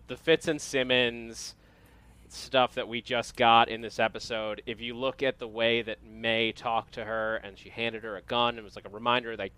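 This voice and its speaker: An upbeat male host with a smooth, clean voice at a middle pitch. He chats loosely about the week's episodes, with plenty of "uh" as he goes. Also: sharp tone. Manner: upbeat, chatty, lots of filler. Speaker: show host. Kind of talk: casual entertainment news chat.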